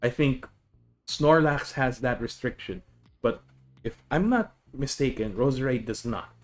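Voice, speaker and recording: A man's voice: mid-range voice, unhurried. Filipino-accented man streaming casually. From Philippines. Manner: chatty, self-correcting, greets viewers. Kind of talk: casual live-stream deck explanation.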